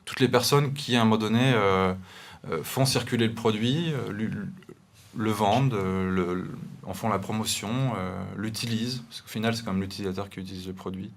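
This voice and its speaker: Young guy from eastern france w that accent, low voice, lots of euh and restarts. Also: brisk. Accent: eastern French, Montbéliard area. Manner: hesitant, many restarts and fillers. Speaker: guest designer. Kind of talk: studio interview.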